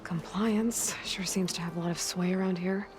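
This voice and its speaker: Woman playing a level-headed young adventurer gal in a video game, lower pitched, understated and direct, music playing. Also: fairly even intonation, moderate pace, close to an everyday voice. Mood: earnest, firm. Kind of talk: video game cutscene acting. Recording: music behind the voice.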